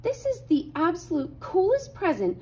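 A woman's voice: huge pitch swings, normal speed, dark tone, exaggerated voice. A woman voicing a cool, enthusiastic female bean from a picture book, gushing and emphatic. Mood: delighted. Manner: gushing, high-pitched, emphatic.

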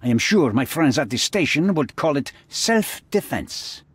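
Husky, menacing crime boss. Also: male voice, a little high, very animated, no echo, heavily acted. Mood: threatening, haughty. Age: middle-aged. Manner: formal, deliberate, imperious. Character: menacing, haughty middle-aged male crime boss. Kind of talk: dramatic video game acting.

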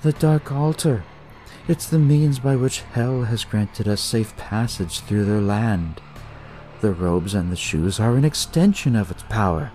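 A man voicing a smug young devil-cult leader in an audio story, with drawn-out, ceremonious pronouncements. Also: on the low side, expressive, a little mellow, a little hiss or hum. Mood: panicked, pleading. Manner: slow, drawn-out, ritual pronouncements.

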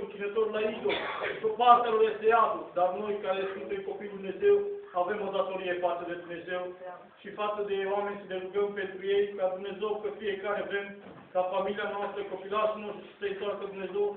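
A man's voice: high-pitched, level tone, distant mic. A middle-aged man giving a fervent, unscripted sermon in an earnest, exhorting, repetitive way, recorded from the congregation of a small church hall. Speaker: lay preacher. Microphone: distant handheld camera in the audience. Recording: tinny, noisy, echoey phone recording from afar.

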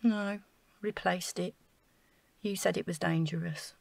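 An actress playing an anxious, self-doubting woman in an audio drama, speaking in a flustered, halting way. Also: lowish voice, fairly even intonation, gravelly, a little mellow, no echo. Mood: subdued, shaken.

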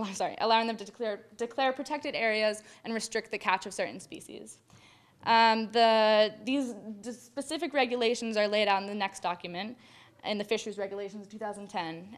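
A young woman gives a student talk from a podium in a lecture hall, heard from a camera out in the audience. She works through prepared points at an even pitch, slipping on a word here and there and filling gaps with "um.". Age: young adult. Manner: reads prepared points with small stumbles and fillers. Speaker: student presenter. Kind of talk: academic presentation.

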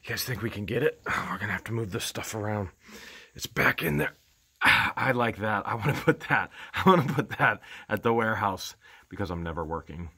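Middle-aged guy, slightly low voice, eager running commentary, handheld camera in a big indoor warehouse. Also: expressive, a little fast, pushed and bright, slightly rough. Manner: enthusiastic, exclaims often, thinks aloud. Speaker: vlogger picking for resale. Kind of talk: excited running commentary while exploring. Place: large cluttered indoor warehouse.

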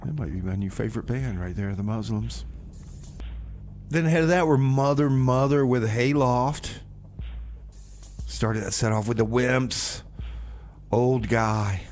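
Slow-talking man hosting a radio music show. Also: medium pitch, darkish tone, slight background noise. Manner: folksy, hesitant, self-deprecating, repeats himself. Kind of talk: rambling music radio presenting between songs.

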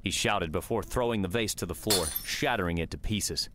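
A man's voice: deep voice, moderate pace, noisy background. A man narrating a scripted story in the third person as an audiobook reader.

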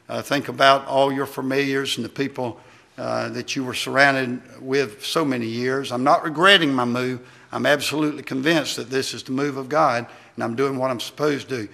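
A preacher. His voice is mid-pitched, creaky and sharply bright. He delivers his sermon in a homespun, halting manner. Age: 60s. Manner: folksy, halting delivery with amens. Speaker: visiting preacher, former pastor. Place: church sanctuary at a wooden pulpit.

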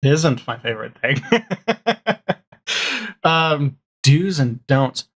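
A man's voice: a little high, sing-song, drawn-out words, a bit bright. A middle-aged or younger man chatting casually as a podcast guest, rambling a little as he thinks aloud. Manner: rambling, thinks aloud, often ends on "right?". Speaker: guest, audio drama showrunner. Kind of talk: casual chat. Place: home room, video call.

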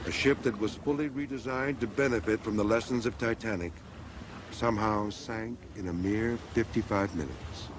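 A man's voice: on the low side, moderate pace, background noise. A man reading scripted narration for a documentary.

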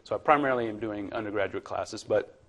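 A man in his thirties from Virginia giving a relaxed, chatty university lecture to a classroom, with plenty of ums and uhs. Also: on the low side, fast. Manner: relaxed, chatty, filler-heavy.

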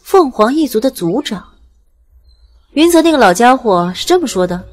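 A commanding ancient goddess with a fairly high voice. She speaks unhurriedly and with indulgent authority, in the manner of a fantasy audio drama. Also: sing-song, normal speed. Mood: surprised.

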